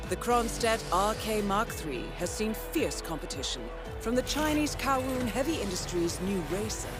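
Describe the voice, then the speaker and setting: A woman's voice: mid-range voice, close to an everyday voice. A woman playing a composed, formal handler who briefs an assassin in precise scripted narration for a video game. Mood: cool, building tension. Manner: poised, formal, precise, deliberate phrasing. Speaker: mission handler. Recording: loud music behind the voice. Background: loud music.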